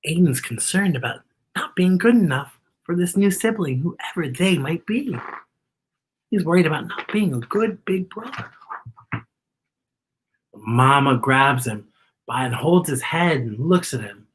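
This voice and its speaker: A children's story-time host reading a picture book aloud with expressive, exaggerated delivery and chatty asides to young listeners. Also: mid-range voice, a little slow, long pauses, slight background noise, rough recording.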